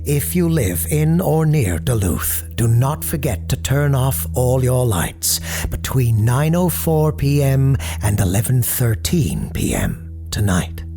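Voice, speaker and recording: A man's voice: medium pitch, big pitch swings, slow pace, vocal fry, few pauses, music in the background, full character voice. A man playing a courteous, grave parapsychologist who hosts a late-night paranormal radio show, speaking formally and with ominous understatement in an audio drama.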